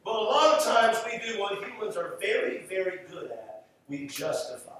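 A man with a Southern US accent preaching a sermon emphatically, repeating phrases and pausing for effect. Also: sits high, sing-song, fairly bright tone. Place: large echoey room, preaching to a congregation. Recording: echoey room, distant mic, faint noise.

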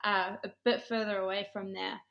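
A woman's voice: medium pitch, flat delivery, moderate pace, creaky, highs cut off, slight background noise. A woman in her thirties with a New Zealand accent, talking conversationally as an interview guest and telling stories. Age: 30s. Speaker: podcast guest, former college golfer and ministry director.

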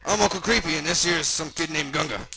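Man doing a loud, folksy old American uncle. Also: on the high side, fast talker, voice pushed up, close to an everyday voice, poor audio. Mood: boisterous. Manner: folksy, boastful. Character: brash, crude, excitable older American uncle.

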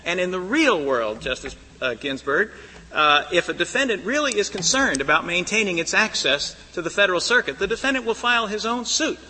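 Loud, insistent courtroom lawyer. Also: male voice, high-pitched, expressive, fairly quick, slightly rough. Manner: firm, insistent, persuasive. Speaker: lawyer arguing before a high court. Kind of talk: formal courtroom argument. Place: large courtroom. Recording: reverberant archival courtroom recording.

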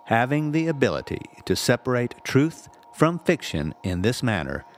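A man reading a scripted spiritual text aloud in a measured, deliberate, instructive way. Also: medium pitch, sing-song, faint music behind. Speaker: audiobook-style reader, reverend.

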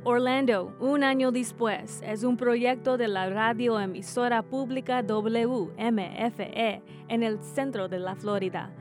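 A woman's voice: a little high, steady tone, slow, few pauses, music in the background. Tex-Mex Spanish woman, public radio host. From Texas, US. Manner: scripted, careful, apologetic about her Spanish. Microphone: studio microphone.

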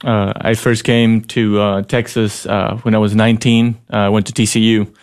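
Halting man from Ecuador, low voice. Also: level tone. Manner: halting, many fillers. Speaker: guest, school board president. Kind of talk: radio interview. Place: radio studio.

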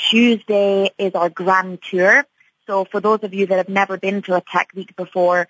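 Seorang perempuan beraksen Skotlandia berbicara ceria dan santai dalam wawancara radio, dengan banyak jeda "um" dan "uh". Suaranya kering dan dekat, tanpa gema ruangan. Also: female voice, fairly low pitch, no room sound, rough recording. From Scotland. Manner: upbeat, chatty, many fillers. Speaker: tech company CEO, guest. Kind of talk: radio interview answers.

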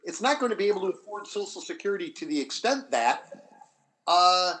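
A man speaking off the cuff as a history scholar in a panel discussion, explaining deliberately with halting restarts and repeated phrases. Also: high voice, animated, sharp tone, rough recording.

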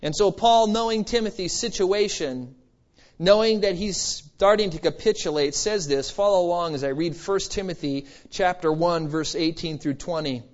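Male preacher, high voice, emphatic exhorting delivery, conversational asides, slightly dull lo-fi recording. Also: normal speed. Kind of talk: expository sermon.

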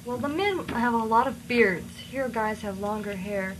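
A woman's voice: on the high side, a little slow, over a noisy crowd, close to an everyday voice. A woman putting on a catty gossipy matron. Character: fussy gossipy matron named Tiny, catty. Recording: loud hiss on old radio tape.

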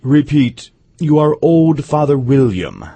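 A woman voicing a languid, sleepy caterpillar in a storybook, stern, contemptuous and terse, with a husky voice. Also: deep voice, very animated, slow pace, mellow, dry recording, lo-fi sound, pitched below the performer's own voice, heavily acted.

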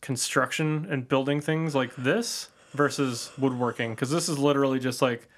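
A man co-hosting a podcast, chatting casually in a flat, monotone way. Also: medium pitch, normal speed. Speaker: podcast co-host. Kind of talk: casual chat.

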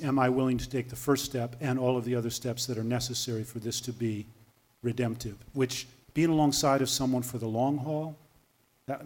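A man on a conference panel adding a calm, reflective point. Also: mid-range voice.

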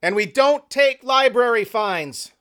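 A man's voice: a little high, expressive, moderate pace, fairly bright tone, no echo, studio quality, pitched above the performer's own voice. A man narrating a story as a wry, teasing male prankster artist with a provoking smart-aleck manner.

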